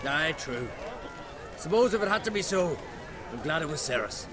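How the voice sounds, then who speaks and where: A man's voice: fairly high pitch, lively intonation, moderate pace, background noise, close to an everyday voice. Man as a brash young warrior. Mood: rueful. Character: young clan warrior, brash, rueful, proud brother. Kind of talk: game cutscene acting.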